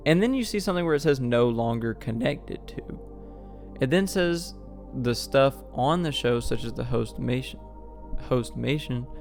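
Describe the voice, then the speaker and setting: A man's voice: medium pitch, darkish tone. A man hosting a video essay, giving a scripted explainer narration.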